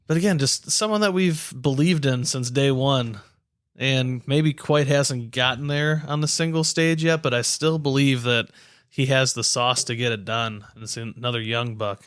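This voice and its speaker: A man's voice: mid-range voice, normal speed, a bit bright, smooth, no echo, clean studio sound. A man bantering casually as a sports podcast co-host, with slangy bro talk.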